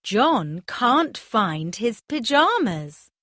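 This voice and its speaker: A woman narrating a scripted children's story, in simple, deliberate phrases for young learners. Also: on the high side, very animated, pushed and bright, slight background noise.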